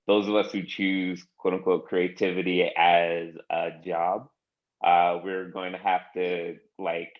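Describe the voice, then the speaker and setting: A man talking as a panel guest on a video call, blunt and halting, often restarting his sentences. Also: low voice, little pitch movement, muffled recording. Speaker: panel guest, communications leader.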